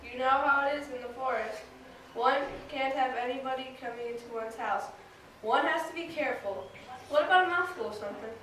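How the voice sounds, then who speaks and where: A woman's voice: high voice, a little fast, fairly bright tone. Young girl as a fussy rabbit in an echoey hall. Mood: polite, careful. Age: child, about ten or eleven. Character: brisk, fussy, polite gentleman rabbit. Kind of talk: staged readers theater performance. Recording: distant echoey room, noisy recording.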